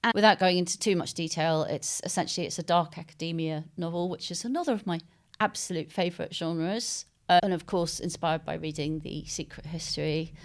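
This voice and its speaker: Female interviewee, writer. Lowish, smooth, resonant voice, reflective conversational delivery with searching hesitations. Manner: thoughtful, halting, searching for words. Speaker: interviewed novelist. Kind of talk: literary interview, unscripted conversation.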